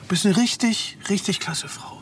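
High-voiced flattering man, played by an actor. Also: moderate pace, slight background noise, natural voice. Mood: flattering, tipsy affection. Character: flattering, intimate male tenant drinking with his landlady. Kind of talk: crime documentary re-enactment.

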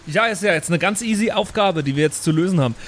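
High-voiced man bantering casually. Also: fast, a bit bright, slight background noise. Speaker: tabletop role-play podcast player.

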